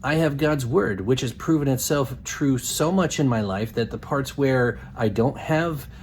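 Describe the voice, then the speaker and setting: A man's voice: mid-range voice, measured pace, darkish tone. Noisy recording of a male puppet show host. Middle register, blunt and assertive, delivering religious rebuttals.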